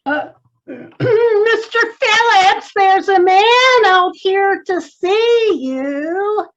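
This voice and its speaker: A woman playing a timid, fussy secretary in an old-time radio comedy, hesitant and drawn-out. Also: extremely high pitch, animated, highs cut off, heavily acted.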